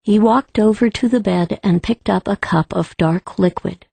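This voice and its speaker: A woman reading scripted story narration for an audiobook in a measured way. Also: medium pitch, creaky, warm tone, husky, no echo.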